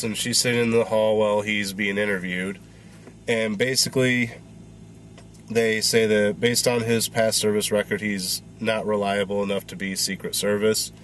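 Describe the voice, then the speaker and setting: Man, congested, lowish voice, rambling casual movie review, lots of ums. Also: slight background noise. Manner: rambling, filler-heavy. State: congested, recovering voice.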